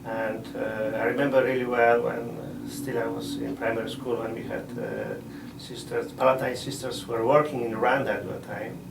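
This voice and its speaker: A middle-aged man, a missionary priest answering interview questions in a measured way, often pausing to find words. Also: medium pitch, steady tone, vocal fry, very noisy. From Poland. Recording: roomy sound from a distant mic.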